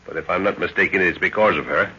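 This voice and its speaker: An actor playing an authoritative middle-aged professor and father in a 1930s radio adventure, measured, decisive and paternal in manner. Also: deep voice, sharp tone, a little hiss or hum. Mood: grave, knowing. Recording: muffled 1930s radio recording.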